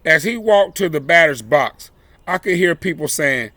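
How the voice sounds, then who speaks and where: A man reading a children's picture book aloud as a storyteller, with dramatic, deliberate phrasing. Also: fairly high pitch, lively intonation, bright, forceful tone, no echo.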